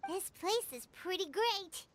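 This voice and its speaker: A woman voicing a tiny, chirpy female fairy companion in a video game, childlike and squeaky, with a perky, expressive delivery. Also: slow pace, slight background noise, exaggerated voice. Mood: chipper. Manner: perky, expressive, refers to self in third person. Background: game music.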